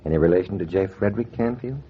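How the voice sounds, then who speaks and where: An actor playing a cocky, smooth-talking American schemer in a clipped, slangy 1940s radio style. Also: male voice, deep voice, quick pace, natural voice. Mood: sly, persuasive. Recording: muffled noisy 1940s radio recording.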